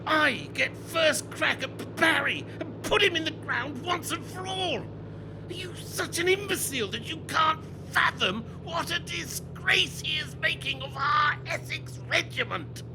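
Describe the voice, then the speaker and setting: A man playing a bloodthirsty, hot-tempered, pompous British army major in a radio play, barking orders. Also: unhurried. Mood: contemptuous, bloodthirsty. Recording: noisy, with vehicle rumble behind. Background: vehicle engine noise.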